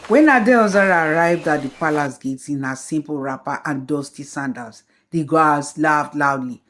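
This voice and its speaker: A woman telling a folktale as scripted storybook narration, in a slow, measured way. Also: sits low, a bit bright, a little hiss or hum.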